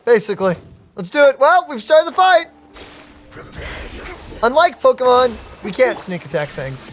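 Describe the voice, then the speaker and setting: A gaming channel host reacts to the game with animated, playful exclamations. The voice swings widely in pitch, over quiet game music. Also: moderate pace. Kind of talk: casual let's-play commentary.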